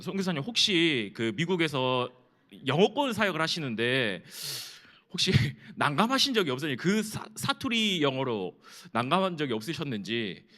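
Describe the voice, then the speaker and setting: A man with a bright, forceful voice and a lively, swinging pitch runs a church panel talk. He jokes around and teases the guest in an easy, chatty way, with a slightly higher pitch than most men. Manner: playful, joking, chatty. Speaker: panel moderator.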